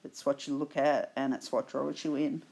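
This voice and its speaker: A woman with an Australian accent, hoarse from a cold, patiently explaining her painting steps in a tutorial voice-over. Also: extremely low pitch, steady tone, dark tone. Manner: slow, patient explaining with fillers. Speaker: art instructor.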